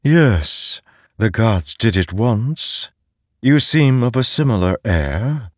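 A man's voice: on the low side, expressive, slow, no echo, pitched above the performer's own voice. A cold, imperious voice speaking into someone's mind, as a man reads it out. It talks down with cutting authority. The sound is close and dry, with no room around it. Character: cold commanding disembodied male voice.

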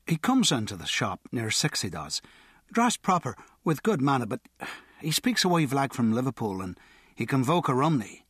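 A man's voice: very animated, normal speed, a bit bright, breathy edge, dry recording. A male narrator voicing a nervous, sweating male street informant who pleads and fawns in a raised voice, recorded dry and close. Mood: eager. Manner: pleading, servile, higher put-on voice.

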